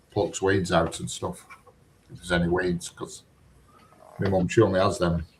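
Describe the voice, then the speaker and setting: A low-voiced, middle-aged man talks in a loose, rambling way. He is cheeky and chatty, full of dialect turns. A faint hiss sits under the recording. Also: low-quality recording. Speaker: conversation partner. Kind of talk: casual chat.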